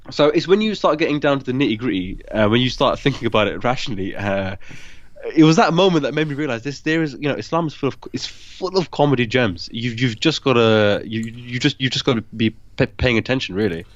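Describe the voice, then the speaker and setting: A man raised in the UK, born in Pakistan, hosting a casual interview and telling animated anecdotes that act out what others said. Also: medium pitch, brisk, dry recording, muffled recording. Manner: animated storyteller, acts out quoted voices. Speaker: podcast host.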